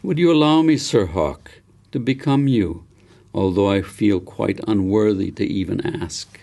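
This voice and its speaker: A man's voice: on the low side, unhurried, creaky, dark tone. A man reading the part of a polite, awed girl in an audiobook, speaking with courtly respect. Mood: respectful wonder. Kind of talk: storybook character in audiobook.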